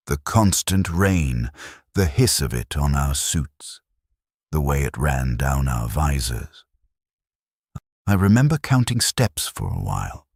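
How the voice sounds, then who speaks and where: Male dramatic narrator: very low register, widely moving intonation, grave and deliberate first-person storytelling, close dry sound. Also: husky, no echo. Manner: grave, measured, short clipped sentences.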